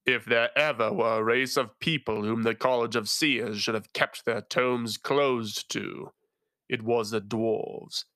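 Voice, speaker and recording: A man voicing a solemn, tentacled male illusionist knight with a resonant voice and a slow, grave delivery. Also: medium pitch, steady tone, pushed and bright, no echo, clean studio sound.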